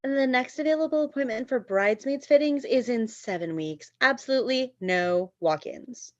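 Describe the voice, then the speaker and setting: A woman's voice: high voice, moderate pace, highs cut off, pitched above the performer's own voice, close to an everyday voice. A woman voicing a glamorous, haughty bridal shop manager with an affected, effusive manner in a comedy screenplay read.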